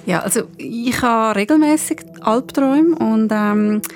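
A woman's voice: on the high side, a little slow, soft background music. A woman with a Swiss German accent chatting casually as a podcast co-host.

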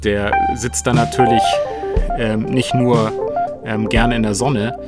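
A man's voice: medium pitch, flat delivery, slow pace. A man speaking as an expert in an interview, explaining in a reasoned, instructive way. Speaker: financial expert being interviewed. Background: music.

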